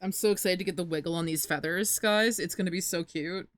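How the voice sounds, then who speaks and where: A woman's voice: lowish voice, fast talker, no echo. A woman streamer chatting live with friends, reading the chat aloud, bubbly and swearing casually.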